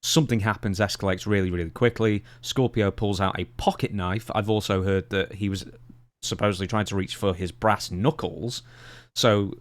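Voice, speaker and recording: Lower-pitched man co-hosting, dry close sound. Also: fairly even intonation, normal speed, no echo. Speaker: podcast co-host reading news and questions. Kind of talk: casual podcast talk, news recap.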